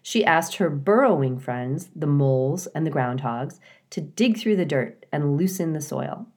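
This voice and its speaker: A woman reading a children's book aloud as scripted narration, in a measured, expressive storytelling way. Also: low-pitched. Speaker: storybook reader.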